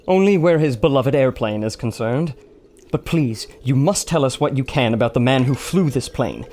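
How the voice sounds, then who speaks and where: An actor playing a sensible, fussing doctor, earnest and exasperated, in an old-time radio adventure. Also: male voice, medium pitch, expressive, normal speed, a little mellow, a little hiss or hum, lightly acted.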